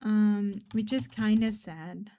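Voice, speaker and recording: A woman streamer chatting casually while drawing, rambling and self-deprecating, often trailing off into quiet mumbles; German is her first language. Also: medium pitch, fairly even intonation, slow.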